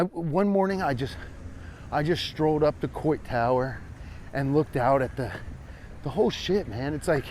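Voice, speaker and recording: A man's voice: fairly high pitch, a little mellow, slight background noise. An American man chatting casually as he walks, riffing playfully in a loose, repetitive, sweary comedic ramble. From Cincinnati, US. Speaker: vlogger and podcast host. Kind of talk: casual rambling comedic chat while walking.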